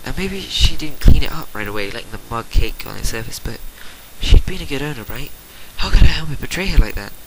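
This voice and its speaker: A man reading fan fiction as a dramatic narration, theatrical and swinging between hushed and forceful, on an amateur home recording. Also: medium pitch, lively intonation, brisk, hardly any pauses, background noise. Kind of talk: dramatic storybook-style narration.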